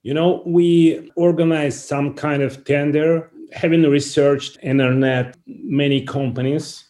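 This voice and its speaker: A man from Russia with a Russian accent, answering interview questions in halting, non-native English. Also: fairly high pitch, fairly even intonation, dark tone. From Saint Petersburg, Russia. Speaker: interview guest, company founder.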